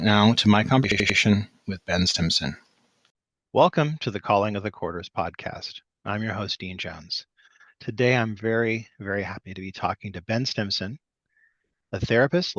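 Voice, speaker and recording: A man hosting a podcast, reading a measured introduction of his guest and then asking questions. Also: lowish voice, no echo, highs cut off. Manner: measured, reads intro from script. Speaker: podcast host.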